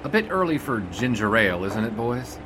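A man's voice: mid-range voice, very animated, fairly quick, background noise, natural voice. A man playing a wry, erudite sports reporter in a 1920s audio drama, speaking with dry, wordy sarcasm. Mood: dryly teasing.

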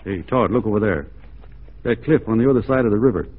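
An actor playing an easygoing, plain-spoken Texan cowboy in a radio drama, with a folksy, unhurried manner. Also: male voice, on the low side, expressive, narrow, tinny audio, a little hiss or hum, lightly acted. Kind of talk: 1940s radio drama acting. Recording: thin band-limited 1940s radio recording.

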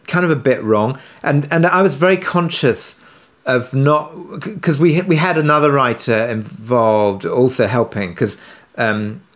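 A man speaking conversationally as an interviewed producer, halting and self-effacing, often rephrasing as he goes. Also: medium pitch, expressive. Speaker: audio drama writer and producer being interviewed.

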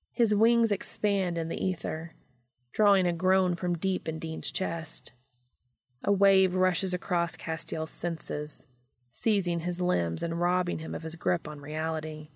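A woman's voice: fairly low pitch, creaky, no echo. A woman reading scripted fiction as an audiobook narrator.

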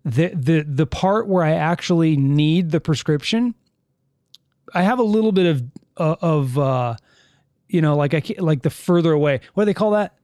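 A man's voice: a little high, normal speed, a little mellow, dry recording, studio quality. A man hosting a casual live podcast, rambling and self-correcting as he reads listener chat comments aloud. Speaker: podcast host. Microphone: studio mic with headphones.

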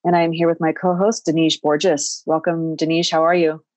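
A woman hosting a podcast interview in a friendly, chatty way, laughing easily. Her voice is on the lower side for a woman. The sound is dry and close. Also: flat delivery, moderate pace, darkish tone, dry recording. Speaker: podcast host.

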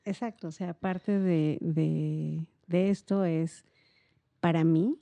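A middle-aged Mexican woman talks casually and reflectively, circling around her ideas. Her voice is gravelly and soft-edged, recorded dry and close. She explains in an informal, wandering way. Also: low-pitched, fairly even intonation, slow pace, dry recording, highs cut off. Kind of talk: casual conversational explanation on a podcast.

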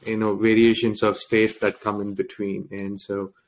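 A man from India, an interview guest, telling his life story in a halting way with many fillers and restarts. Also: on the low side, monotone, rough recording.

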